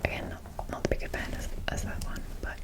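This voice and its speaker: A young woman with an Australian accent speaking in a breathy whisper, rambling casually in an ASMR show-and-tell style. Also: a little fast. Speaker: ASMR creator showing a doll collection.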